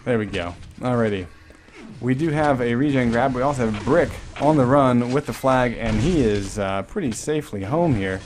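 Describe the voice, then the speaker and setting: A man's voice: medium pitch, normal speed, over music. A man giving casual, joking play-by-play commentary on an online game match, swearing freely. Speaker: esports commentator.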